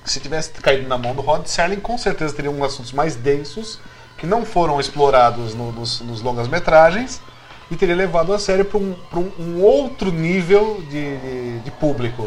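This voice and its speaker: A man's voice: on the high side, lively intonation, normal speed, soft background music. A Brazilian man chatting casually as a panelist on a talk show. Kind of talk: casual informal chat.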